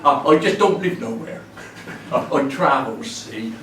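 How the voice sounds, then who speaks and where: An actor playing a cheery, deferential homeless wanderer of about seventy in a stage monologue, with a rural Hampshire accent and a halting, rambling way of talking. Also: male voice, mid-range voice, lively intonation, a bit bright. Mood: chatty, good-natured. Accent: rural southern English, Hampshire. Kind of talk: theatrical dramatic monologue. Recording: echoey room, distant mic, faint noise.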